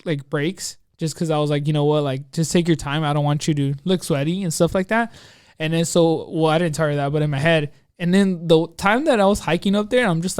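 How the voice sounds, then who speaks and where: A man co-hosting a podcast, telling a personal story in an excitable, rambling, slangy way full of quoted asides. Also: fairly high pitch, clean tone, no room sound, studio quality. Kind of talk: casual chat, anecdote storytelling.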